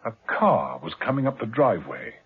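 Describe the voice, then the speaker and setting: A man's voice: mid-range voice, very animated, a little fast, narrow, tinny audio. A man narrating a 1970s radio drama serial in a dramatic, wry storytelling manner. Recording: thin old radio recording with music.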